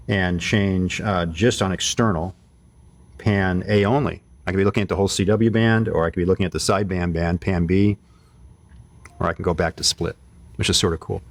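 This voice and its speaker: A man giving an informal hands-on product demonstration, explaining things step by step and thinking aloud as he goes. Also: deep voice. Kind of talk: casual hands-on product demo.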